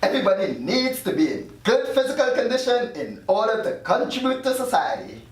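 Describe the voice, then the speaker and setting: A man's voice: extremely high pitch, sing-song, brisk. Man acting swooping-voiced doctor, echoey room. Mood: explaining. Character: evasive male afterlife doctor in his thirties. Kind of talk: screenplay table read. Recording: very echoey room, distant mic.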